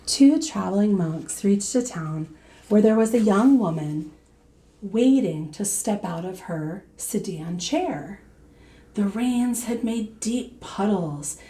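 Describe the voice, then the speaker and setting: A woman reading a children's storybook aloud in a deliberate teacherly way, pausing to put questions to young listeners. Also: mid-range voice, warm tone, rough recording.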